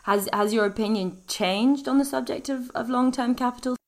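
A woman's voice: a little high, normal speed. A woman hosting a business podcast, putting questions to a guest in a conversational way. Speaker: podcast host, interviewer.

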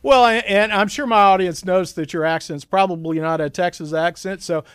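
Male interviewer, somewhat high voice, encouraging and conversational, recorded close and dry. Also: a little fast, fairly bright tone, clear, resonant tone, no room sound. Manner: affirming, encouraging. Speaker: interviewer, show host.

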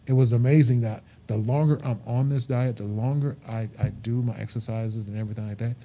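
Man, 50s, truck-driver guest; earnest and emphatic, very mellow dark tone, mid pitch. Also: steady tone, brisk, a little hiss or hum. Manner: earnest, emphatic, repeats himself. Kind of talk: casual interview talk.

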